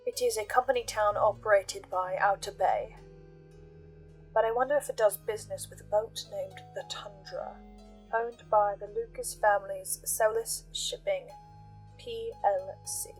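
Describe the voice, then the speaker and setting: A woman giving intense, theatrical scripted commentary with emphatic pauses, like a host laying out a theory. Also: medium pitch, fairly even intonation, rough recording. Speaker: host of a reaction and theory channel. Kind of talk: dramatic scripted commentary on a fiction podcast. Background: background music.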